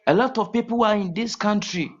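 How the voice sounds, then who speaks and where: A man from Ghana with a Ghanaian accent, talking as a guest in a casual call-in interview, halting and repeating his words. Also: high-pitched, breathy edge. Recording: muffled lo-fi call audio.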